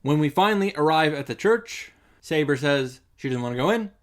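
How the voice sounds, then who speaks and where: A man's voice: fairly high pitch, animated, a little fast. A man hosting a visual novel recap, giving casual summary commentary with wry showman asides. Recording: music playing behind the voice.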